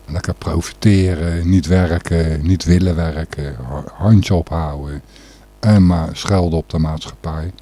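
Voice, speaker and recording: A man hosting a radio show, talking off the cuff about the news in a halting, opinionated way. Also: low voice, fairly even intonation, mellow, husky. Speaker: radio host. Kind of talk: unscripted radio talk on current news.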